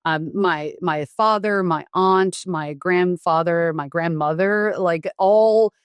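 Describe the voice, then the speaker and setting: A woman doctor who grew up in the US after being born in Taiwan, explaining frankly in a relaxed interview with many fillers and restarts. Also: on the low side, takes it slowly, no room sound. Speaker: doctor and guest. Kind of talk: conversational interview.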